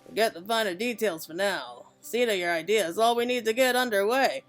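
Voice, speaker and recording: A woman's voice: mid-range voice, lively intonation, a little fast, lightly acted. A woman reads as a veteran master craftsman from a fantasy game. He is plain-spoken and folksy, peppering his talk with old dialect words, over faint music. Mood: plain-spoken, encouraging.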